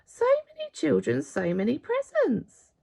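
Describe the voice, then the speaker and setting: A hearty, friendly old gentleman of a children's storybook, acted out by a woman. He exclaims with pride, and his pitch swings widely. There is a strong creak in the voice. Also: wildly swooping pitch, unhurried, full character voice. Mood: busy, exclaiming.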